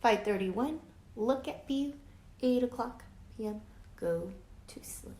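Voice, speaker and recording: A woman reading a children's picture book aloud like a teacher, deliberate and emphatic, drawing out words and adding explanatory asides. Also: on the high side, darkish tone. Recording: echoey room, faint background noise.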